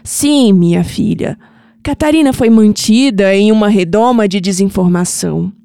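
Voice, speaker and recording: An actress playing a wise, mystical old Brazilian grandmother in an audio drama, solemn, affectionate and measured. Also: medium pitch, gravelly, dark tone, smooth, dry recording, clean studio sound, close to an everyday voice. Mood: grave. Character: tender, wise, mystical old grandmother revealing family secrets. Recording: music bed under the voice.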